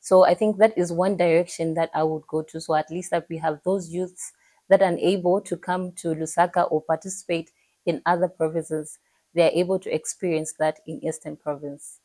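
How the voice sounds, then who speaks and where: A young woman with a Zambian accent, a pageant contestant giving an earnest, measured self-introduction to the camera. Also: fairly low pitch, steady tone, dark tone, no echo, rough recording. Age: young adult.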